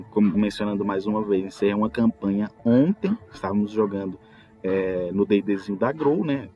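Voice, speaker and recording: A man with a Brazilian accent in casual podcast chat, telling things in an animated way with rhetorical questions. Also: fairly low pitch, dull audio. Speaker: tabletop role-player, podcast speaker. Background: music.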